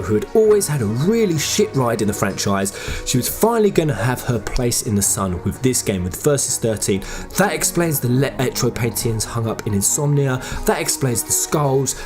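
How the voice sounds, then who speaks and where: Guy doing excited game theory commentary, conversational and emphatic, pitch jumps around a lot, faint music behind him. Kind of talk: enthusiastic fan theory commentary.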